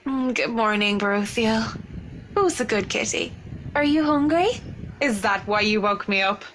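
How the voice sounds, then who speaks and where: A woman playing a polite, conscientious young woman in a visual novel, speaking gently and with slight reserve. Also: a little high, measured pace, close to an everyday voice. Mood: affectionate. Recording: clear background ambience noise.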